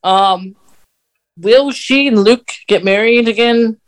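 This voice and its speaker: A man co-hosting a podcast, explaining fan lore casually in a halting way with pauses mid-sentence. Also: high-pitched. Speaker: podcast co-host.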